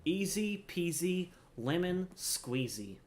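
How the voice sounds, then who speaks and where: A man's voice: high voice, very animated, takes it slowly, darkish tone, soft background music. A man giving casual gameplay commentary, explaining things informally and thinking aloud as he plays. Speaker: gamer, streamer explaining gameplay.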